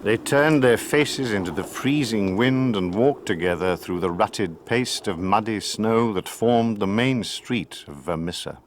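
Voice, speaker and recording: A man's voice: lowish voice, unhurried, hardly any pauses, a little hiss or hum. A man reading scripted literary narration for a drama.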